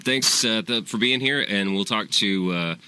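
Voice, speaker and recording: A radio host with a very deep yet bright, crisp voice, recorded dry and close in a studio. He hesitates often, repeating words and filling gaps with "uh" as he leads the interview. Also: no echo. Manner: halting, many "uh"s and repeated words.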